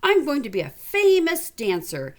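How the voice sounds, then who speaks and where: A woman's voice: high voice, wildly swooping pitch, normal speed, pitched above the performer's own voice. A woman voicing an exuberant, eager little second-grade girl with big dreams in a storybook read-aloud. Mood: proudly declaring. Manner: eager, declarative, hollering in bursts.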